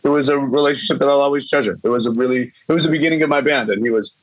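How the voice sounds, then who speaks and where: A man's voice: medium pitch, very fast, no room sound, rough recording. Easygoing man chatting on a video call. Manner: laid-back, easygoing storyteller, casual swearing. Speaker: band singer, guest. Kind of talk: casual video-call interview.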